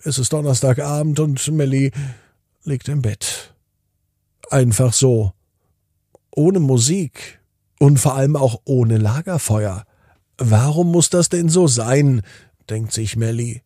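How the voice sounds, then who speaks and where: Slow, husky man telling a bedtime story. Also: medium pitch, warm tone, no room sound, studio quality. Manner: simple short phrases with deliberate pauses, addressing the young listener. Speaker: host and narrator of a children's bedtime podcast. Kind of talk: scripted bedtime story narration for children.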